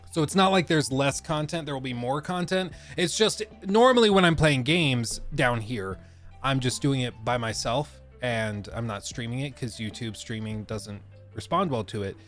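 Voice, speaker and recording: Man, young adult, stuffed-up nose, rambling streamer chat, mid pitch, faint music behind. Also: animated, normal speed, fairly bright tone. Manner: rambling, chatty, many fillers. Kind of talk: casual live-stream chat.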